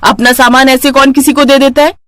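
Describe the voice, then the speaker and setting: A woman's voice: high voice, flat delivery, a little fast, fairly bright tone, no echo, clean studio sound, pitched above the performer's own voice. A woman voicing a haughty, envious older sister in a storybook tale, scornful and taunting. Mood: scolding.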